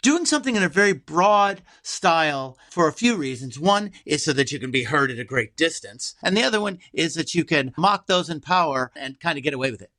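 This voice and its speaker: Man, bright forceful tone, fairly high pitch, animated conversational explainer, dry sound. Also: a little fast, few pauses, no room sound. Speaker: interview guest, theater writer, performer and director.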